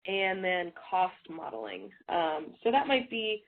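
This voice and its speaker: A woman walks an audience through a software webinar, her voice a little stuffy from a cold. She talks in a relaxed way and fills gaps with small fillers. The sound is thin and phone-like, as over a web call. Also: medium pitch, unhurried, gravelly. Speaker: presenter. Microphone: web call audio. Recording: tinny phone-like audio.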